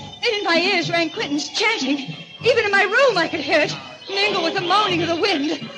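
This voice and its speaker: High-pitched, terrified young radio heroine. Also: female voice, moderate pace, music in the background, rough recording. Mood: rising panic. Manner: breathless, stammering, melodramatic. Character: terrified young woman recounting a supernatural murder. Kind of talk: old-time radio mystery acting.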